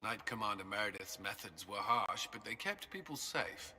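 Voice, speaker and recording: A man's voice: on the low side, expressive, moderate pace, sharp tone, husky, exaggerated voice. An actor playing a guilt-ridden male templar commander in a video game, speaking solemnly and earnestly. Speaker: game character.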